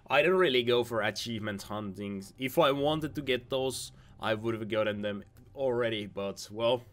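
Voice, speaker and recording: A young man, a little hoarse from losing his voice, giving casual rambling commentary over game footage, repeating himself and restarting words. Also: medium pitch, a bit bright. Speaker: gaming video host.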